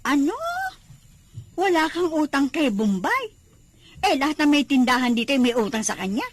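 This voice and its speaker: An actress playing a nosy, grumbling Filipino shopkeeper in a radio drama, exclamatory and complaining. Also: female voice, sits high, animated, normal speed, a little hiss or hum. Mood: incredulous, grumbling. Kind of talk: radio drama acting in Tagalog.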